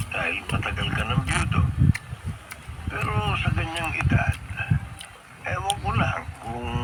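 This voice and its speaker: Lively, blunt younger brother. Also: male voice, mid-range voice. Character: blunt, watchful younger brother warning his sibling. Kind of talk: Filipino radio drama acting. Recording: very noisy radio drama recording.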